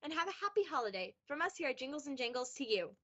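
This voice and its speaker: A woman's voice: high-pitched, sing-song, fast, a bit bright, lo-fi sound. A woman playing a cheery shop saleswoman reading a scripted radio advert. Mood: cheery, promotional.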